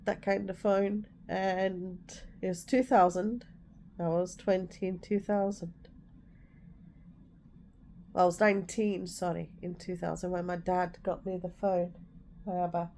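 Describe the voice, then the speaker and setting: 40s english woman, bit of scouse, rambly storytime chat, mellow voice, faint hiss. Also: lowish voice, flat delivery, unhurried, pauses often. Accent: English with a touch of Scouse. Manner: rambling and personal, correcting herself as she goes. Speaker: vlogger. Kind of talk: casual storytelling to the camera.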